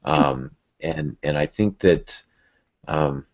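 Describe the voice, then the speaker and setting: A man speaking hesitantly in a casual, reflective podcast conversation, halting and repeating words as he goes. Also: very deep voice. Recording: dull, muffled lo-fi audio.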